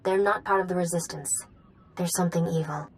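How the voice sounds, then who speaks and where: An actress as a wary, lowish-voiced female informant. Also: steady tone, fairly quick, close to an everyday voice. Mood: resigned, wry. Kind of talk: video game cutscene acting. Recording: game audio over music.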